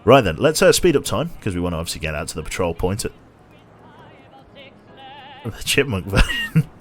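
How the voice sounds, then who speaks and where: A man streaming a game with casual commentary, a little tired, his voice slightly nasal and a bit raspy, chatty as he thinks aloud and thanks viewers. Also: low voice, huge pitch swings, moderate pace, faint music behind.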